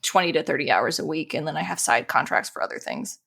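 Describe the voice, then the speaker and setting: A woman's voice: sits low, animated, quick pace, vocal fry, fairly bright tone, breathy edge. A woman chatting casually as an interview guest, informal and self-deprecating in the way she talks. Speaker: podcast guest, animator and dungeon master.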